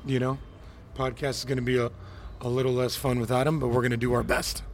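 A man from Miami hosting a casual podcast, an animated storyteller who likes to rant and act out quotes. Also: medium pitch, quick pace, slight background noise. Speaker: podcast host.

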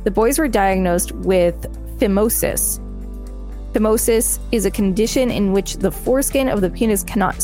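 Woman, mid-pitched voice, podcast co-host, article reading with commentary, faint music behind. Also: darkish tone.

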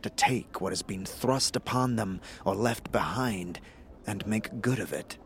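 A man's voice: on the low side, slight background noise. Man acting a solemn, philosophical fantasy warrior. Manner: formal, deliberate, proverbial. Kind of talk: audio drama acting.

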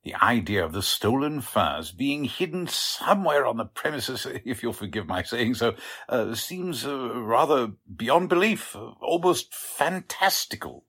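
A fussily formal warehouse manager from a 1940s radio mystery. His husky voice swings up and down as he politely, hesitantly explains and defends himself. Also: mid-range voice, big pitch swings, unhurried, fairly bright tone, exaggerated voice. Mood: politely doubtful. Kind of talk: 1940s radio drama acting.

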